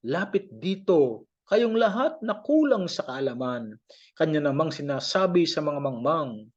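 Male Filipino audio Bible reader. Slow, measured verse recitation with animated pitch; slightly muffled lo-fi sound. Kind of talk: scripted scripture reading.

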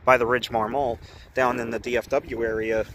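A man's voice: medium pitch, level tone, fairly quick, fairly bright tone, a little hiss or hum. Chatty man ranting outdoors. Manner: chatty, ranting, easily indignant. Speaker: urban explorer vlogger. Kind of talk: casual walk-around narration. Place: outdoors around an empty brick building.

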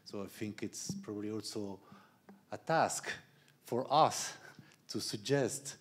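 A man's voice: on the low side, takes it slowly. A man in his fifties moderating a panel discussion, reflective, posing broad questions into a handheld mic. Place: event stage with panel tables, indoors.

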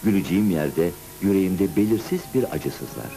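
A man narrating a cartoon story in the first person with expressive, dramatic storytelling. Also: low voice, moderate pace, vocal fry. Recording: music behind, old cartoon soundtrack. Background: music.

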